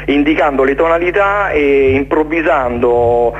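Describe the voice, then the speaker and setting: A man giving an informal radio interview as a guest, speaking haltingly, searching for words and repeating himself. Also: on the high side, highs cut off. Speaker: interview guest, jazz musician presenting his concert series.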